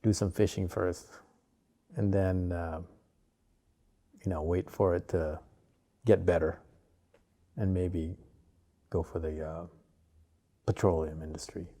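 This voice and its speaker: A man in his fifties from Malaysia talks in a low, gravelly voice with a warm, mellow tone. He speaks slowly and loosely, with many pauses and fillers, as a guest in a relaxed interview. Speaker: artist guest.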